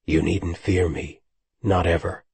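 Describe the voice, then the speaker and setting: A man's voice: little pitch movement, measured pace, darkish tone, no room sound, full character voice. A man doing a growling brooding warrior lover. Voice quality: low, husky growl. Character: brooding, powerful otherworldly male warrior, possessive. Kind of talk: audiobook romance reading.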